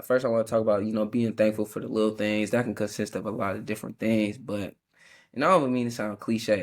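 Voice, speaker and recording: Congested young man, laid-back host. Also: lowish voice. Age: early 20s. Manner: laid-back, rambling, with frequent fillers and small laughs. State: congested, sucking a cough drop. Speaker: podcast host.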